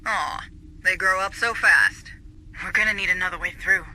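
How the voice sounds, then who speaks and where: An actor playing an alert, dryly sardonic military operator guiding a squad in a video game. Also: male voice, high voice, moderate pace, pushed and bright. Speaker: mission coordinator on comms. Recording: noisy game ambience behind.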